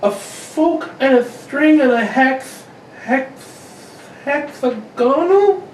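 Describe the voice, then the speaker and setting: A man voicing a studious, hesitant young girl in an amateur radio play, haltingly sounding out words. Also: extremely high pitch, expressive, heavily acted. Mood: careful, puzzled. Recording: distant roomy mic with clear noise.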